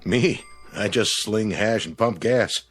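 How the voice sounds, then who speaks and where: An actor playing an easygoing, down-to-earth diner cook, plain and placating in a vintage TV drama. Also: male voice, fairly low pitch, slow pace, fairly bright tone, faint music behind. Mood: matter-of-fact.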